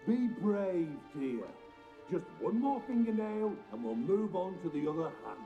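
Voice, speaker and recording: An actor playing a sadistic torturer in a video game, gleeful and crude, taunting in a sing-song manner. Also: male voice, high voice, very animated, a little slow, highs cut off, faint music behind, full character voice. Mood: mock-tender, gleeful.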